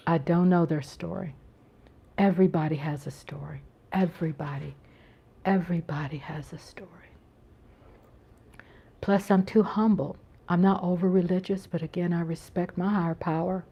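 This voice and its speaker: A woman's voice: on the low side, steady tone, moderate pace, vocal fry, pauses often, warm tone, slightly rough, muffled recording. An American woman hosting a casual live-stream chat from home, talking in an earnest, rambling way with frequent restarts. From United States. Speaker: channel host.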